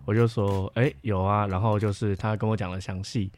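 A man's voice: lowish voice, level tone, brisk, darkish tone. A young man, chatting casually as a podcast guest. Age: young adult. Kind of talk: casual chat. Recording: background music behind the voice. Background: music.

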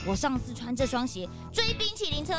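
A cheeky young sidekick in a children's radio play. The voice is high and very animated, exclaiming and whining like a kid. Music plays clearly behind it. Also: female voice, brisk. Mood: sheepish. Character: eager, cheeky young detective's assistant, childlike and whiny. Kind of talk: children's radio drama acting.

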